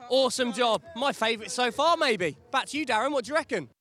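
A man with a high voice presents a race broadcast with playful, upbeat banter. He jokes with his co-host and hands back to the commentator. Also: animated, fast, background noise. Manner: jokey, enthusiastic.

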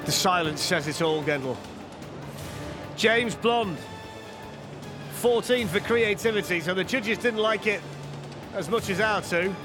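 A man giving lively, jokey live commentary on a downhill race at an outdoor track. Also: high voice, over music. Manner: excitable, quick reactions, jokey.

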